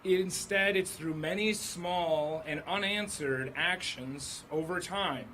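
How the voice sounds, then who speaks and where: Hoarse man speaking at press event. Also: a little high, takes it slowly, bright, forceful tone, slight background noise, low-quality recording. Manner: building lists of grievances. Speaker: state senator. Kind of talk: press conference speech.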